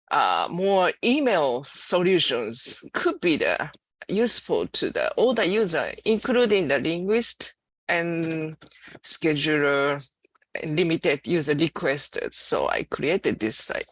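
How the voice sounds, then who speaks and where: A woman giving a training presentation as a system developer manager, talking in a halting, hesitant way with many restarts. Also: fairly low pitch, no echo. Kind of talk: slide presentation.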